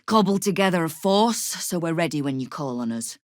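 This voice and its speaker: A deep-toned female crime boss from a video game. She is tough and sardonic, giving orders and veiled threats with wry authority. The sound is dry, with no room around the voice. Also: lively intonation, brisk, no echo. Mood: firm, commanding. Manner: wry, menacing, commanding. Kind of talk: dramatic video game character dialogue.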